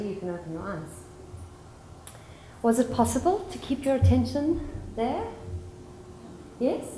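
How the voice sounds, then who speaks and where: A South African psychologist speaking to a conference audience and calmly guiding them through mindfulness exercises. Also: female voice, mid-range voice, a little hiss or hum. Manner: calm and guiding, slowing for instructions. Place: large conference hall. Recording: roomy hall with faint ambience.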